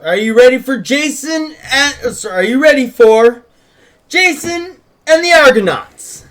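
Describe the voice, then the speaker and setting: A rowdy rock-show announcer, put on by a man at a script read. The voice is pushed high and loud, hyped up to rouse a crowd. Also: animated, moderate pace. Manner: loud, rousing.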